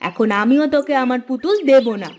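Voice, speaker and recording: A woman's voice: sits high, brisk, darkish tone, voice pushed down, lightly acted. A woman as a boastful, possessive cartoon child. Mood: boastful, spiteful. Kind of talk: cartoon voice acting.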